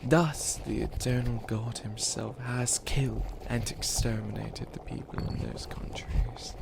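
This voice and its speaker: A theatrical evil witch played by a man declaims grand threats in a slow, creaky voice. The delivery is pompous and menacing, like a villain monologue in an ASMR roleplay, with clear ambient noise behind it. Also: mid-range voice, fairly even intonation. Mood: grandiose, menacing.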